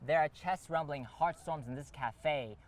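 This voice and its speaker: A man's voice: fairly high pitch, measured pace, slight background noise. A man from the Bay Area performing spoken word poetry in a duet, with a rhythmic, emphatic delivery. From Bay Area, California.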